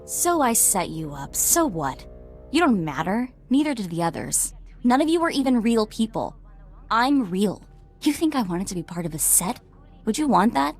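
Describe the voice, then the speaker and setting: A woman's voice: a little high, big pitch swings, moderate pace, faint music behind. An actress playing a scheming, self-obsessed young female criminal in a video game, with an intense, dramatic delivery. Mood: bitter contempt.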